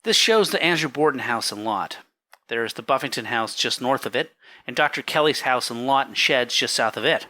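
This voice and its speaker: A man's voice: medium pitch, animated, pushed and bright. A man playing a careful, polite surveyor giving precise testimony in an 1893 trial drama. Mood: careful, matter-of-fact. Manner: measured, literal, polite. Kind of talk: dramatic courtroom reenactment.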